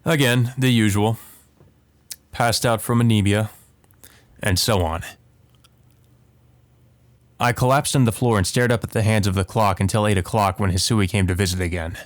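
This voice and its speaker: Low-voiced man doing a let's play. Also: normal speed, pauses often, no room sound. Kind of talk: casual commentary while reading visual novel text aloud. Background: video game music.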